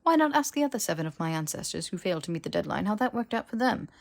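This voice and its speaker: A woman's voice: on the low side, sing-song, rapid-fire, creaky. A woman voicing a sarcastic, testy young aristocratic wizard man with a scoffing drawl, in a fantasy audiobook. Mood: scornful.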